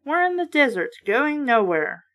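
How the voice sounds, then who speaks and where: A woman's voice: high voice, very animated, measured pace, fairly bright tone, exaggerated voice. A woman voicing a short-tempered, dramatic father in a storybook, snappish and exasperated. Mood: grim snapping.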